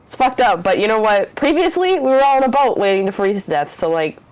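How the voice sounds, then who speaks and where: A young woman tabletop player giving a relaxed, rambling closing remark. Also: medium pitch, fast talker, no echo, poor audio. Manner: relaxed, filler-heavy, shrugging. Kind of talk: casual chat.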